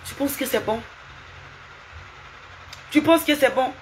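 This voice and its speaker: A woman's voice: sits high, slow pace, a little hiss or hum, rough recording. A woman live streaming, lecturing and scolding someone with repeated rhetorical questions and asking viewers for hearts.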